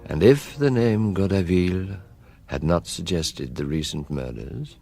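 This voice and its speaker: An actor playing a middle-aged British chief inspector in a radio drama, fatherly and reflective, speaking in a measured, musing way. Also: sits low, creaky, soft background music, full character voice. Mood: musing, weary. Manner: measured, musing, gently probing. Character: veteran male police chief inspector, fatherly, quietly reflective.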